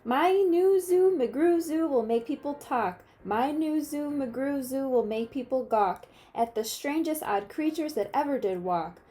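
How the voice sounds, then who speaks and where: A woman reading a rhyming picture book aloud in an expressive, playful manner, stretching words for emphasis. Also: high-pitched, a little slow, few pauses. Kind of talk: storybook read-aloud of rhyming verse.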